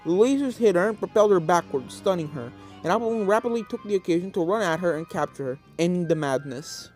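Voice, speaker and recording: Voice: mid-range voice, animated, quick pace, a little mellow. Story narrator, scripted fan fiction reading, background music.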